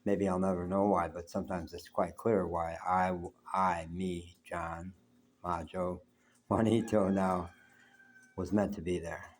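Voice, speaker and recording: An older man from the United States with a very low, deep voice talks through a long reflective story in a loose, meandering way. He has a big voice, and faint background noise sits under the recording in his apartment. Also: level tone, measured pace. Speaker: vlogger. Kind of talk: reflective solo talk.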